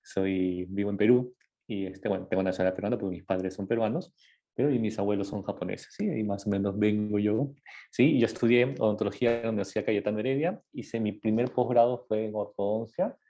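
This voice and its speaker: A man's voice: lowish voice, a little fast, warm tone. A man from Ecuador, a dentist, giving an informal lecture in a conversational way with many fillers and tag questions.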